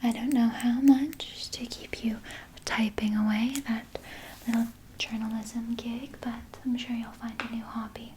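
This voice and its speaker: A woman playing a sinister, soothing vampire nurse in an ASMR roleplay, speaking in a soft, breathy whisper with coaxing, falsely reassuring words. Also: a little high, flat delivery, a little hiss or hum, lightly acted. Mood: musing, faintly menacing. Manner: slow, coaxing, falsely reassuring, close personal address. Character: sinister, soothing female vampire nurse tending her captive patient.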